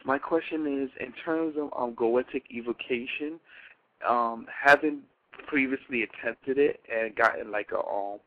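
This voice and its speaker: Polite male caller on a phone line. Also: medium pitch. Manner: formal wording, deferential. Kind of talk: polite, earnest questioning. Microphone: telephone.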